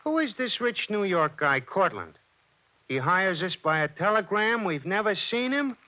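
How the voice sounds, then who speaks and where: An actor playing a complaining, jittery detective sidekick in a 1940s radio drama, on a muffled old recording. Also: male voice, high-pitched, big pitch swings, moderate pace. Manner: complaining, whiny.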